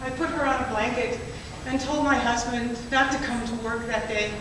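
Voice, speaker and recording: A woman voicing the fearful wife of a gas station owner, speaking in a measured, reflective way. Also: fairly high pitch, steady tone, close to an everyday voice. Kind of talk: spoken-word poetry performance. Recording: echoey hall from far off, noisy.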